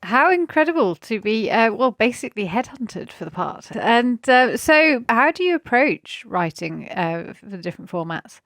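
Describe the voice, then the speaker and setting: A woman's voice: a little high, sing-song, moderate pace, hardly any pauses, a bit bright, no room sound. Woman interviewer, dry sound. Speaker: podcast interviewer. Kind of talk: conversational interview questions.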